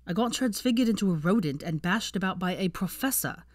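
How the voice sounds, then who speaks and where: A woman's voice: medium pitch, lively intonation, brisk, dry recording, clean studio sound, lightly acted. A woman reading the part of a bitter, defensive seventeen-year-old wizard boy with a cold temper in an audiobook story. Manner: profane, bitter, arguing in long bursts. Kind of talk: fan fiction audiobook character dialogue.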